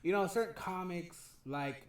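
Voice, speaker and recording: A man's voice: high voice, moderate pace, roomy sound. A man with a lisp, a stand-up comic chatting as a podcast guest, rambling and profane and often laughing. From California. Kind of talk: casual podcast chat.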